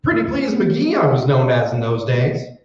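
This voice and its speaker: Man acting a blustering folksy windbag, 1940s radio comedy, animated highish pitch, echoey distant stage. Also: normal speed, highs cut off. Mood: boastful. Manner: blustery, chatty, theatrical.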